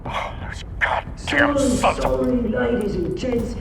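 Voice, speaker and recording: A man's voice: very high voice, lively intonation, measured pace, background noise. An actor playing a flamboyant, sadistic male villain who talks in a theatrical, mocking way. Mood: mocking, menacing. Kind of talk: dramatic game voice acting. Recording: echoey hall, music behind.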